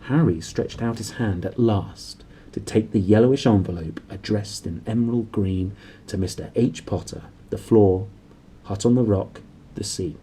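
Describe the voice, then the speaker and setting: A man reading a storybook aloud as an expressive narrator. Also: fairly low pitch, moderate pace, a little hiss or hum. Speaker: audiobook reader.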